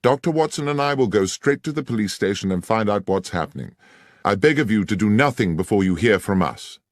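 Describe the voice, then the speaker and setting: A man reading aloud, voicing a courteous, probing gentleman detective. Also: on the low side, animated, brisk, no echo, clean studio sound, voice pushed up, lightly acted. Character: keen, incisive gentleman detective.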